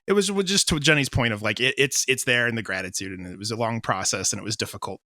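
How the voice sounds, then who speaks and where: Bright, dry-sounding rambling man. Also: mid-range voice, quick pace, no room sound. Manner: rambling, self-interrupting, informal. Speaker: audio drama writer and director being interviewed. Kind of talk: casual interview chat.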